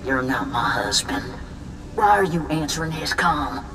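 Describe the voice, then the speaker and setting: A woman's voice: low-pitched, animated, measured pace, recorded from a distance, background noise. A woman playing a tough, proud, middle-aged resistance fighter in a video game, blunt and hard-bitten. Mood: wary, grieving. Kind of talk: video game cutscene dialogue.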